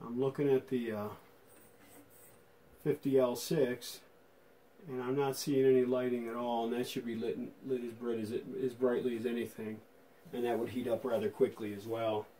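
A man's voice: mid-range voice, flat delivery, normal speed, mellow, poor audio. A man giving a casual hands-on demonstration as a vintage radio restorer, thinking aloud in an informal, tentative way.

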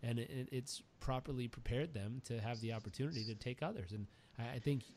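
Man, podcast host, average pitch, enthusiastic, rambling storyteller, faint background hiss. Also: fairly quick, a little mellow. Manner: enthusiastic, rambling, repeats words when starting. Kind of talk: casual interview chat, storytelling.

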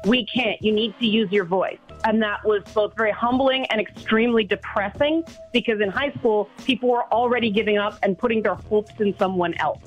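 A woman's voice: medium pitch, fairly quick, few pauses, soft background music. A woman from Toronto, talking earnestly as a guest in a radio interview. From Toronto, Canada. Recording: dull phone line.

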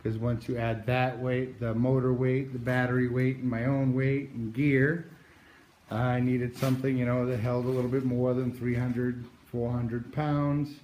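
A man from New Hampshire giving a casual, halting walkthrough into a handheld phone, with many pauses and restarts. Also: medium pitch, flat delivery, darkish tone, reverberant, slight background noise, low-quality recording. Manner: slow, halting delivery with many uh's and restarts. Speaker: outdoors vlogger. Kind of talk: casual show-and-tell walkthrough. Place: garage, then outdoors by a driveway. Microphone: handheld phone camera, moving around.

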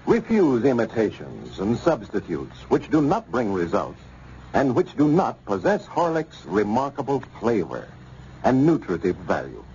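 A man's voice: mid-range voice, wildly swooping pitch, unhurried, darkish tone. An American man announcing from a script in polished, emphatic 1930s radio style. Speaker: radio announcer and sponsor pitchman. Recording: muffled, noisy old radio recording.

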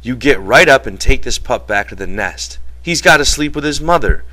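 A man voicing a protective, exasperated ranch hand in a storybook reading, telling things forcefully in folksy, ungrammatical speech. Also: medium pitch, animated, normal speed, pitched above the performer's own voice, low-quality recording. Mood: scolding.

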